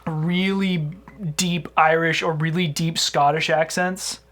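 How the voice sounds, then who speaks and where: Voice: mid-range voice, fairly even intonation, slow, fairly bright tone. Casual podcast co-host, full of "like". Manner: filler-heavy, says "like" a lot. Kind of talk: casual chat.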